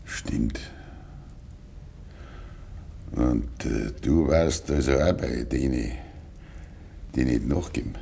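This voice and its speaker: An actor playing a gruff, stubborn, grieving old farmer in Bavarian dialect in a 1950s radio play, brooding and curt. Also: male voice, very deep voice, warm tone, heavily acted. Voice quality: gravelly. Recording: 1950s radio recording with faint hiss.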